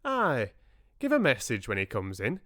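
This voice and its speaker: A man's voice: medium pitch, wildly swooping pitch, moderate pace, fairly bright tone, dry recording, studio quality. A man voicing a plump, eager Yorkshire farmer, confident and blunt, in an audiobook. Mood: hearty, matey. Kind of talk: audiobook character dialogue.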